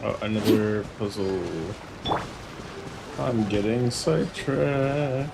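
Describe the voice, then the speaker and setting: Male game streamer: unhurried, gravelly fry, mid pitch, offhand casual chat over game music. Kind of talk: casual livestream gaming chat.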